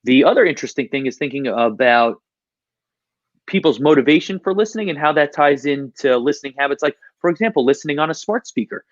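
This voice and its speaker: A man speaking as an interview guest on a podcast, explaining things in a measured conversational way over slightly lo-fi audio. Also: fairly high pitch, lively intonation, no room sound. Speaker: podcast guest, podcast industry professional.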